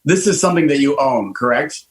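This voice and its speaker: A man in his fifties hosts a casual talk radio chat with an animated, enthusiastic delivery. He stops and restarts often, and his voice sits slightly higher than most men's. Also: fairly quick. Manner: enthusiastic and animated, many fillers. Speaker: host, car designer.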